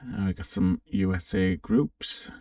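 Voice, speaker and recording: Low, creaky man talking through software. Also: unhurried, clean studio sound. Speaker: presenter of a software demo. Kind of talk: casual unscripted walkthrough commentary. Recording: roomy, slightly distant microphone.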